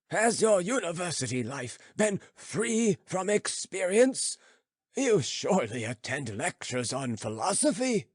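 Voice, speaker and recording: A man's voice: on the high side, breathy edge, no room sound, studio quality, pitched above the performer's own voice, exaggerated voice. A man voicing an old philosopher, lofty and teasing, questioning emphatically in an audiobook story. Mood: incredulous, probing. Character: old philosopher sage, lofty, teasing, growling, addressing youths.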